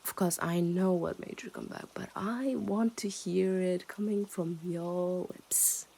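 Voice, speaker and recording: A woman's voice: on the low side, steady tone, measured pace, vocal fry, dark tone, slightly rough, heavily acted. A woman playing a possessive, smug yandere kidnapper in an intimate ASMR roleplay, teasing and condescending as she often dips into whispers.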